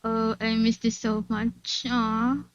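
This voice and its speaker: A woman's voice: a little high, flat delivery, takes it slowly, dry recording. A woman streaming a game, chatting casually with viewers in a cutesy, playful way. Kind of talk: casual livestream chat and game commentary. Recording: dull, muffled sound. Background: faint game music.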